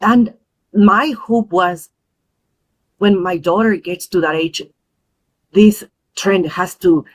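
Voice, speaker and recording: Earnest Peruvian-born woman, thoughtful pauses. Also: mid-range voice, low-quality recording. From Peru. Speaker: guest, parent advocate. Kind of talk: podcast interview.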